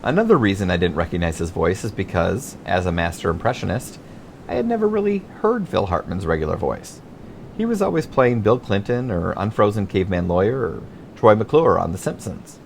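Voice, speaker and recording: An American man narrates his own audiobook memoir in a lowish voice. His intonation is animated and often drops into creak. A faint rumble sits in the recording. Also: a little fast. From Michigan, United States.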